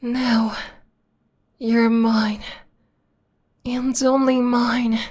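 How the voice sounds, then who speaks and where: A woman playing a possessive, obsessed young vampire lover in an ASMR romantic roleplay, speaking softly and intimately, often in a breathy whisper. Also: on the high side, little pitch movement. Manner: slow, intimate, sweetly controlling.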